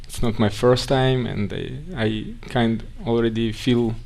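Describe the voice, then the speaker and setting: Russian-accented guy giving a talk, slow and halting, lots of restarts. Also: medium pitch, fairly even intonation, vocal fry, darkish tone. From Russia (Siberia). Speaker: guest speaker. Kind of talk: conference talk.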